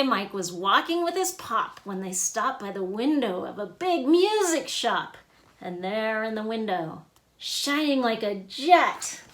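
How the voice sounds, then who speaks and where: A woman with a high, bright voice reads a children's picture book aloud. Her pitch swings in a lively way, and she gives the rhymes and sound words expressive emphasis. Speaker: author and illustrator reading own book.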